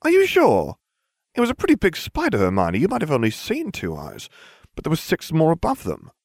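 A man's voice: a little high, huge pitch swings, brisk, vocal fry, a little mellow, no room sound, clean studio sound, pitched above the performer's own voice, heavily acted. A man voicing a self-righteous, glib schoolboy with a pompous manner in a storybook reading. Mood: dismissive. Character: cocky, self-righteous schoolboy, indignant and oblivious.

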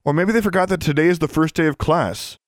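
A surly schoolgirl played by a male narrator, voiced only slightly higher than an ordinary man. She grumbles scornfully and disdainfully in a storybook reading, with dry, close sound. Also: quick pace, no room sound, close to an everyday voice.

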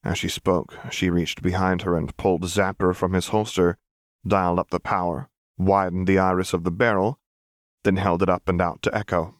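A man with a low-pitched voice narrates fiction in an audiobook style. The reading is scripted, and the sound is dry with no room to it. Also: level tone, moderate pace, no room sound.

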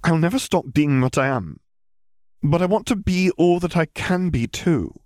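Storybook role: bright, ambitious young witch student, read by a man, earnest and questioning, higher register, dry sound. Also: expressive, normal speed, dry recording, close to an everyday voice. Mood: determined.

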